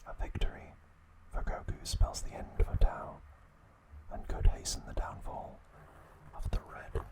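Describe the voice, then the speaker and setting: A man hosting ASMR, whispering in hushed, drawn-out short phrases with frequent pauses. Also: low-quality recording. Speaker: host of an ASMR channel. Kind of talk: ASMR, whispered trivia talk and reading aloud.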